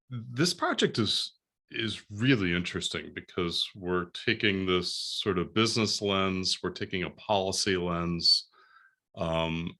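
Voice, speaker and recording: A man, a professor, speaking on a video call panel in a friendly, lively way. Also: low voice.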